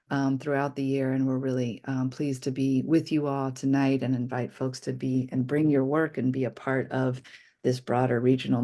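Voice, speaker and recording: Event speaker, mellow relaxed voice, even intonation, prepared remarks in an informal register, online meeting. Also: mid-range voice. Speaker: event speaker introducing a movement assembly.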